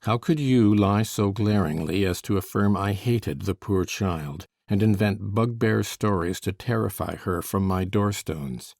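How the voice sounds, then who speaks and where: Gravelly villain, a man voicing it, low and menacing, dry studio sound. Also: unhurried, hardly any pauses, a little mellow, no echo. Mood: coaxing. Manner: menacing, mocking, then falsely coaxing. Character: brooding, cruel middle-aged man, a scheming villain. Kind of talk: audiobook character reading.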